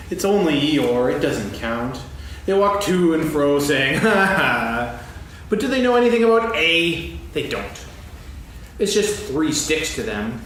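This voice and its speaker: Man playing pompous grumbling donkey with animated delivery. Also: a little high. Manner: pompous, lecturing, emphatic pauses. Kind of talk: staged dramatic reading for children's story. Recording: echoey stage, distant mic, faint noise.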